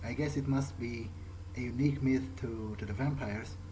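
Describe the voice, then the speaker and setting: Male gamer, mid-pitched, conversational in-character improviser, musing and halting, faint game ambience behind. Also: fairly even intonation, warm tone, a little hiss or hum, rough recording.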